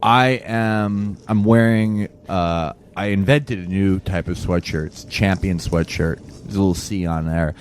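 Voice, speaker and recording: A man's voice: low-pitched, steady tone, a little slow, few pauses, a little hiss or hum. A man playing a tabletop game with brash, joking, casual improvisation.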